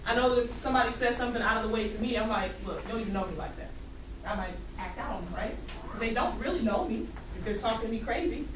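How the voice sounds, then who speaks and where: Female teacher, higher-pitched, questioning and echoing answers, heard at a distance in a reverberant classroom. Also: fast. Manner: questioning, repeats students' answers back. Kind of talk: classroom read-aloud discussion. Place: classroom with students. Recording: echoey noisy classroom, distant mic.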